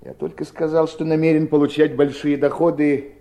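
An actor in a 1970s Soviet radio play playing a sly, scheming brother with an ironic, deliberate delivery. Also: male voice, fairly high pitch, flat delivery, dark tone, natural voice. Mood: cool, deliberate.

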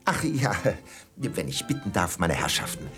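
An actor playing a spiteful, jeering hotelkeeper in a tropical colony, blustering theatrically in a radio play. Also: male voice, sits low, big pitch swings, normal speed, music in the background. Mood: grudging showmanship. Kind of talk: German radio play acting.

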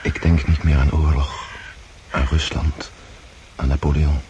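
A captive Russian nobleman with a very low voice, gentle and earnest. He speaks as a reflective idealist in a radio play, with faint noise on the recording. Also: level tone, measured pace, muffled recording. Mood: serene, resolute. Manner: contemplative, earnest. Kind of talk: radio drama acting.

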